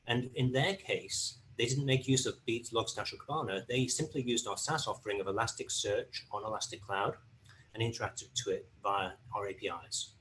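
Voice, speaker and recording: A man presenting a webinar, giving a steady, explanatory product overview. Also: lowish voice, moderate pace, a little hiss or hum, low-quality recording.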